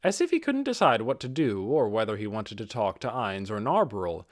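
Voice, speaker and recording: Expressive male audiobook narrator, dry sound. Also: fairly low pitch, a little fast, no room sound. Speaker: audiobook reader. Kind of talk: scripted fantasy audiobook narration.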